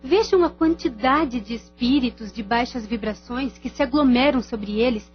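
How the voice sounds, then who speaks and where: A woman playing a solemn spirit teacher who guides her students in formal, measured instruction in a radio drama. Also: a little high, lightly acted. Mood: solemn, urging. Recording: slightly dull radio sound, faint music.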